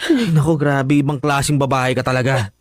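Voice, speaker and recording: An actor with a Filipino accent playing a cold, irritable boyfriend, curt and scolding, in a radio drama. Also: male voice, mid-range voice, steady tone, fairly quick, no echo, studio quality, close to an everyday voice. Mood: dismissive, annoyed.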